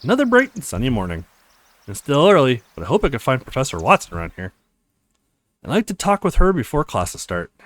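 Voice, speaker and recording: A man's voice: mid-range voice, huge pitch swings, a little fast. A man voicing an earnest, reassuring young male college student in a visual novel, coaxing and confident. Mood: weary, resolved.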